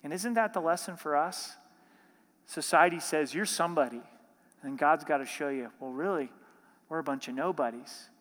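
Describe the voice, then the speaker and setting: A man with a fairly high voice preaches a sermon, moving between scripture reading and explanation. He asks his listeners rhetorical questions as he goes. His voice rings with the long reverb of a large hall. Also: normal speed, a bit bright. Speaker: pastor.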